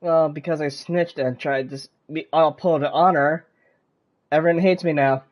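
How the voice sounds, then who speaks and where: Higher-pitched man reacting casually to a game. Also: steady tone, normal speed, dull audio. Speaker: gaming video maker.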